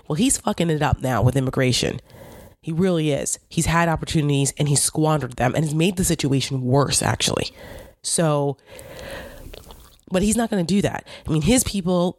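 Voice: medium pitch, fairly quick, a little mellow, no room sound, studio quality. A commentator with a New Jersey accent, hosting a casual political monologue in a blunt, indignant way and swearing freely. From New York / New Jersey area.

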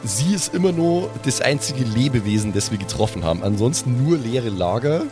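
Lively male tabletop player over music. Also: mid-range voice, moderate pace. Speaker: roleplay podcast player. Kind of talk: improvised in-character tabletop banter. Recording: clear music playing behind the voice.

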